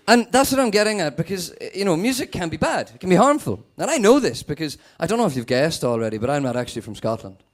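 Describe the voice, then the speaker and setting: A young man from Northern Ireland with a Northern Irish accent, performing a stand-up set with a sweary, deadpan manner. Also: a little high, brisk. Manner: sweary, animated, deadpan asides. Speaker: stand-up comedian.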